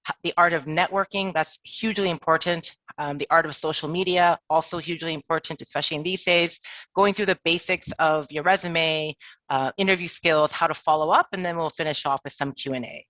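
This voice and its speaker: A woman's voice: low voice, steady tone, brisk, a bit bright, no room sound, muffled recording. A woman presenting an online career workshop as an HR director, chatty and self-deprecating with jokes. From Toronto, Canada. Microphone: video call.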